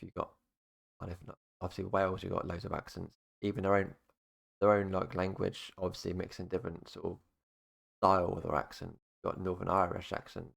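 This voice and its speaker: A young man with a very low, gravelly voice. He talks loosely to the camera, looping through "obviously" and "basically" as he goes. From United Kingdom. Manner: rambling, full of fillers like "obviously" and "basically". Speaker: YouTuber. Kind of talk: casual explainer monologue.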